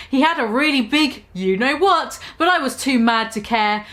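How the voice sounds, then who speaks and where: A woman's voice: on the high side, fairly bright tone. A woman reading a story aloud as comic dramatic narration, theatrical and amused, barely keeping a straight face.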